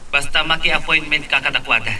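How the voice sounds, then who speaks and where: Sharp, commanding businessman acted by a man. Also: fairly high pitch, brisk, far from the mic, a little hiss or hum. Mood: businesslike, commanding. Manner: curt, authoritative. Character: brisk, commanding businessman giving orders to staff. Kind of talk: radio drama acting.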